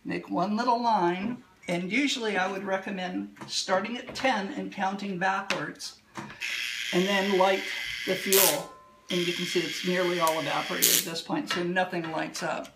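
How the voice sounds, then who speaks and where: A woman calmly explaining a hands-on demonstration at a table for a livestream, a little hoarse. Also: mid-range voice, very noisy. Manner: calm, explanatory, unhurried. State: slightly hoarse. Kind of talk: instructional demo talk. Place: indoor room at a table.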